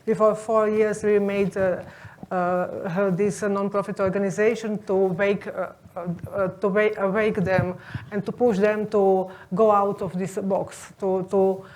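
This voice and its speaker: A woman with a non-native English accent speaks at a medium pitch with very little melody. She answers off the cuff on a panel, halting as she searches for words. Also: little pitch movement, a little mellow. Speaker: non-profit and railway panelist.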